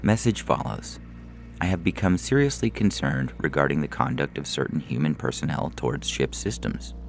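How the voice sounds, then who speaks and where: A man voicing a calm, officious starship computer with a formal, unflappable manner in a sci-fi comedy audio story. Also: a bass voice, moderate pace, gravelly, faint music behind, full character voice.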